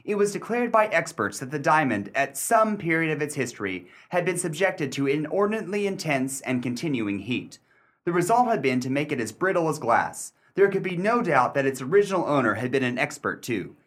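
An actor playing a formal, articulate gentleman adventurer in a radio play, measured and dryly scrupulous. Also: a little high, very animated. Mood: dry, reflective. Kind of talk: radio play acting and narration.

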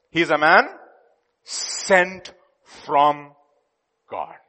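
A man preaching a sermon, emphatic, jumping between loud declarations and hushed asides. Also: high-pitched, vocal fry. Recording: long hall reverb.

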